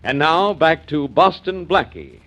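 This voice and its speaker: A man announcing and recapping the story in a formal, measured way for a 1940s radio drama. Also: on the high side, animated. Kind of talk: scripted narration. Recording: dull old radio broadcast with organ.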